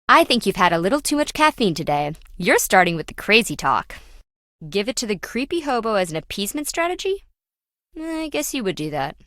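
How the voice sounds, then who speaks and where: A woman's voice: mid-range voice, sing-song, brisk, bright, forceful tone, no room sound, studio quality. An actress playing a greedy, sarcastic treasure-hunting businesswoman in a video game, blunt and dismissive. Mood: scornful. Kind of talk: video game character dialogue.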